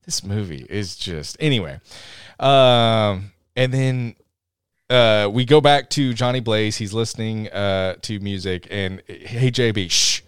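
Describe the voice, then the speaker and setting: A man's voice: fairly low pitch, slow pace, clear, resonant tone, no echo, clean studio sound. A man co-hosting a podcast, chatting casually about a movie, sarcastic and jokey, often acting out lines from it. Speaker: podcast co-host. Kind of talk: casual movie review chat.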